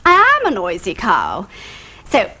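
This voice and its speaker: An actress playing a loud, bossy middle-aged neighbour woman who talks in a booming, brisk and hearty way, in a British TV sitcom. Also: huge pitch swings, husky, exaggerated voice, low-quality recording. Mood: apologetic. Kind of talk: 1980s British sitcom acting.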